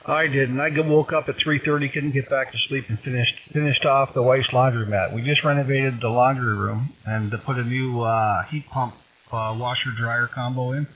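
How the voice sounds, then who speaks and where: A man's voice: medium pitch, steady tone, moderate pace, phone-quality sound. A man chatting casually as a ham radio operator, heard over a radio transmission. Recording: distant, thin, noisy shortwave radio link.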